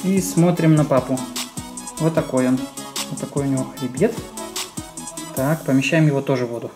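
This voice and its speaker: A man's voice: mid-range voice, moderate pace. A man co-hosting a children's toy unboxing, explaining simply and asking the child prompting questions. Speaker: co-host of a children's toy video. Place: small room at home. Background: music.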